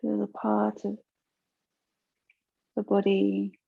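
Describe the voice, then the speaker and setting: Slow-paced woman guiding relaxation. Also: medium pitch, completely flat delivery, creaky, slightly rough, no echo, phone-quality sound. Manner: soothing, unhurried, gentle instruction with long pauses. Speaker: yoga nidra teacher. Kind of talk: guided relaxation meditation.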